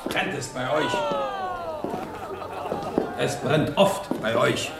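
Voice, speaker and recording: Gruff Prussian monarch, prudish, suspicious, blunt, unhurried, fry in the voice, radio play. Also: male voice, mid-range voice, heavy background noise. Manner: blunt, grumbling, indignant. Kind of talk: German radio play acting.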